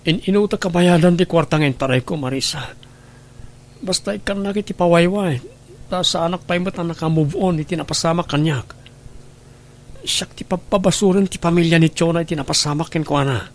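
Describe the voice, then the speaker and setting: A man's voice: fairly high pitch, fairly quick, natural voice. A man acting a young boyfriend, cocky and pleading by turns, in an Ilocano radio drama. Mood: remorseful. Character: reckless cocky young boyfriend on motorbike, later remorseful. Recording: noisy radio drama track.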